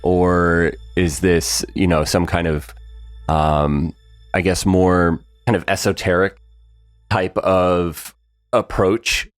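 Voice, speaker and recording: A man's voice: sits low, level tone, takes it slowly, dry recording, clean studio sound, faint music behind. A man co-hosting a podcast, musing thoughtfully about a story, with lots of fillers and easy laughs. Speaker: podcast co-host. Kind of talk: casual behind-the-scenes discussion.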